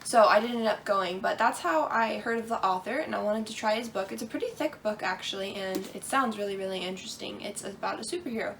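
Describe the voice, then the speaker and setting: A woman's voice: mid-range voice, quick pace, gravelly, few pauses, fairly bright tone, far from the mic, slight background noise. Eager woman chatting about her books. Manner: enthusiastic, rambling. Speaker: co-host. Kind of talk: casual book haul chat.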